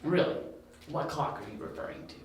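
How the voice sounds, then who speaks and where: A man's voice: on the high side, animated, fairly quick, vocal fry. A man playing a smug, taunting criminal mastermind with menacing politeness in an amateur audio drama. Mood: coolly menacing. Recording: very echoey, distant, with faint hiss.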